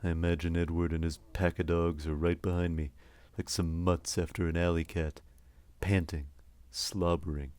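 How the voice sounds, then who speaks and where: Male actor as world-weary noir detective, very low voice, terse wry narration for horror audio drama. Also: little pitch movement, normal speed, few pauses, darkish tone, no room sound, lightly acted. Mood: wry, grim. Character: hard-boiled, world-weary male detective narrating his own nightmare.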